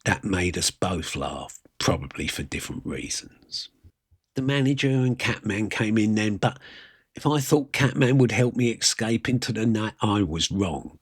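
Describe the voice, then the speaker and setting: A man narrating a first-person story aloud, reading literary prose with dramatic pauses and a husky voice. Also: lowish voice, expressive, dry recording, studio quality.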